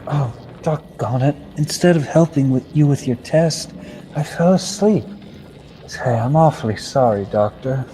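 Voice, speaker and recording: A man's voice: medium pitch, unhurried, warm tone, lightly acted, low-quality recording. A young man playing a drowsy, good-natured adventurer with a folksy, apologetic manner in an old-time radio serial. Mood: sheepish. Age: young adult. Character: groggy, sheepish, good-natured male adventurer just woken. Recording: faint background noise.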